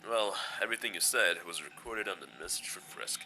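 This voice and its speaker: A man in a fan audio drama playing a gentle, weary, laid-back male skeleton, speaking in a hesitant, casual, understated way. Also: low voice, fairly quick, slight background noise, full character voice, rough recording. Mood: dry, bemused.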